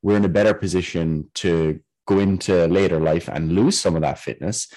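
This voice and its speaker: A young man co-hosting a podcast, a trainee doctor explaining health topics in a measured, casual way. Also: deep voice, warm tone, low-quality recording. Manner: explanatory, measured, occasional fillers.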